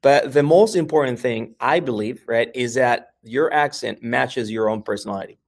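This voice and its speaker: A man's voice: mid-range voice, normal speed, gravelly. A man with a Mexican American accent, a language educator talking casually as an interview guest, often checking in with "right?" between thoughts. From New York, USA. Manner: frequent "right?" and "uh" fillers, restarts.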